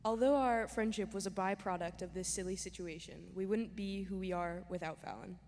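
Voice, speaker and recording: A woman's voice: mid-range voice, steady tone, fairly quick, darkish tone. A young woman who was once a student sincerely reads a prepared tribute in a synagogue. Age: young adult. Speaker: former student giving a tribute. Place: synagogue sanctuary. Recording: long hall reverb, faint noise.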